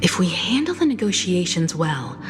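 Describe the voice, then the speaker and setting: A thoughtful game adventurer lady, articulate, slightly low voice, music going behind her. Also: very animated, normal speed. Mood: reasoned, approving. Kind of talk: scripted game voice acting.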